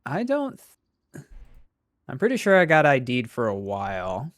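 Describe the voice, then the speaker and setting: A man's voice: mid-range voice, wildly swooping pitch, moderate pace, a bit bright, no echo. A man chatting casually with a friend, laid-back and teasing, laughing often. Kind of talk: casual chat while playing a game.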